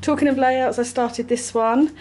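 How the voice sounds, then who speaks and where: A woman's voice: high-pitched, steady tone, normal speed, darkish tone, slight background noise, rough recording. An English woman hosting a casual craft vlog, chatty and upbeat, with lots of fillers. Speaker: vlogger, craft shop owner. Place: craft studio and shops.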